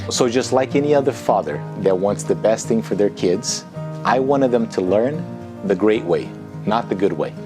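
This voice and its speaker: Brazilian guy in his 30s, low voice, reflective and earnest promo story, music playing clearly behind. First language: Portuguese. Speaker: author and entrepreneur promoting a book. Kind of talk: earnest personal testimonial.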